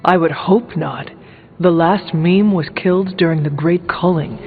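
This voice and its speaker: Solemn, literal-minded game warrior hero. High male voice, formal delivery, music behind. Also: a little slow, slightly rough, narrow, tinny audio. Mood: earnest, resolute. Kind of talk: video game dialogue acting. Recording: thin band-limited game audio, long reverb, music.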